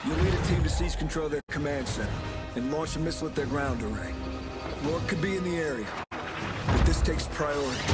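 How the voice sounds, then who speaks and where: An actor playing a resolute, commanding military officer in a war game, giving clipped, authoritative briefings. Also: male voice, on the high side, close to an everyday voice. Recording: loud music over the voice. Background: loud music, vehicle sounds.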